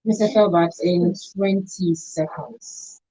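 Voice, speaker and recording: A woman's voice: fairly low pitch, slow pace, mellow, highs cut off, a little hiss or hum. A woman with a Nigerian accent hosting a radio show, putting questions and signing off.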